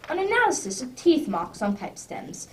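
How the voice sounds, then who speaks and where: Woman doing witty posh gentleman detective, radio play, lively pitch, slightly off mic. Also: moderate pace, slight background noise. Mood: unruffled, wry. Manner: teasing, precise, old-fashioned gentlemanly phrasing. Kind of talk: radio drama acting. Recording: distant microphone.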